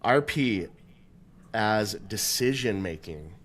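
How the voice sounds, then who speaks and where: A man's voice: fairly low pitch, expressive, slow pace, a little hiss or hum. A man co-hosting a casual tabletop gaming discussion, a dungeon master who thinks aloud with plenty of fillers. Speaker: podcast co-host and dungeon master.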